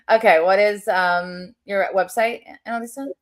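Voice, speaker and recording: A woman's voice: mid-range voice, slow. A woman hosting a webinar over a video call, chatty and rambling, with lots of fillers as she tells stories. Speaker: webinar host. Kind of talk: casual webinar conversation.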